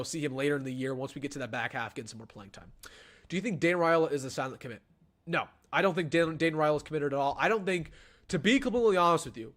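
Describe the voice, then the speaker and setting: A young man talks casually on a live stream, pitched a touch high for a man. He is chatty and animated, often stumbling and restarting as he answers questions from chat. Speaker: sports channel host.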